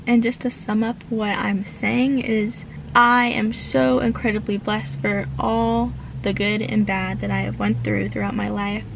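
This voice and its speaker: A woman's voice: medium pitch, flat delivery, unhurried, gravelly, noisy background. A woman about to turn twenty, vlogging from inside her car in an earnest, grateful, rambling way, using filler words as she talks into her phone. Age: late teens. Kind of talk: reflective casual vlog.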